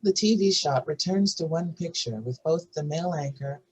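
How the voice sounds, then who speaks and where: A man's voice: on the high side, normal speed, a little mellow, breathy edge, low-quality recording. A man narrating screenplay stage directions at a table read.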